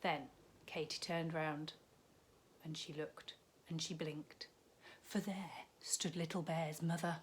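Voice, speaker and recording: A woman's voice: sits low, steady tone, takes it slowly, a little hiss or hum. A woman, a primary teacher reading a story expressively to young children, with chatty asides.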